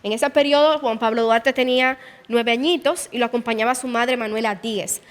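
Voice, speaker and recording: Guía dominicana, voz aguda; explica historia en sala con eco largo. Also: female voice, on the high side, fairly even intonation, brisk, big-room sound. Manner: explanatory, narrates history with quoted dialogue. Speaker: museum guide. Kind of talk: guided tour explanation. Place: indoor exhibition hall.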